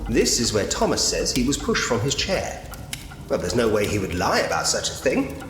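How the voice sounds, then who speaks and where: A man's voice: on the low side, huge pitch swings, normal speed, a bit bright, a little hiss or hum. A man voicing a polite, drily whimsical Victorian police inspector who muses to himself in an adventure game. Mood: resolute, puzzled. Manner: musing to himself, genteel, drily humorous. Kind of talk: point-and-click adventure game voice acting. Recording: reverberant room with long echo. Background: game music.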